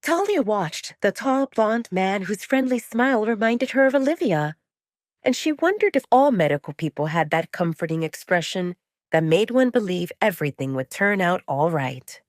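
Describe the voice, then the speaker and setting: A woman's voice: mid-range voice, very animated, normal speed, no echo, clean studio sound. A woman narrating an audiobook with scripted, dramatic storytelling.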